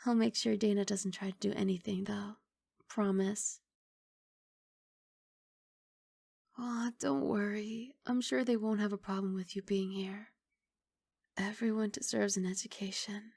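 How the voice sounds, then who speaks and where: A woman voicing a kindly young giant dragon girl in an ASMR roleplay, speaking in a soft, coaxing, reassuring and slightly bashful way. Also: mid-range voice, flat delivery, normal speed, gravelly, no room sound. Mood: sleepy, hushed.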